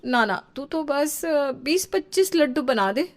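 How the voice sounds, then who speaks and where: Condescending elder sister-in-law played by a woman, cartoon braggart with high, animated speech. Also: unhurried, a little hiss or hum. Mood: showy. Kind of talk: cartoon story character acting.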